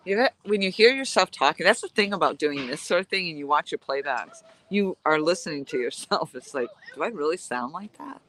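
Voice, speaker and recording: A woman's voice: sits low, expressive, normal speed, fairly bright tone, low-quality recording. A woman with a nasal voice, chatting casually and rambling as she vlogs outdoors while fishing. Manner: chatty, rambling, laughs easily. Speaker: vlogger. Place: outdoors at a lakeside camp.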